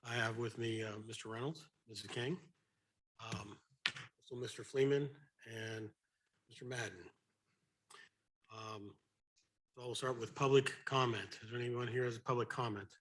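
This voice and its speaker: A man with a slight cold and a raspy voice, chairing a committee meeting in a plain, somewhat halting manner. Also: medium pitch, fairly even intonation, a bit bright. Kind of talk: formal meeting procedure.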